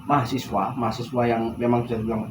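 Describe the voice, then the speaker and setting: A man's voice: medium pitch, little pitch movement, fast, reverberant. A young adult man, explaining himself point by point in casual, colloquial conversation. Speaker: university student, counselling client. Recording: clear background noise, room ambience.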